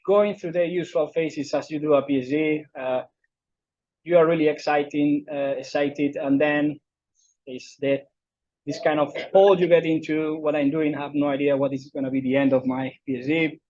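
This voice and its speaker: A middle-aged man from southern Spain speaks English with a Spanish accent, giving a conference talk. His voice sits fairly high, and he hesitates often with fillers and restarts. The sound is dry and a little dull. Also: a little mellow, no room sound. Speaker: invited speaker. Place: lecture room.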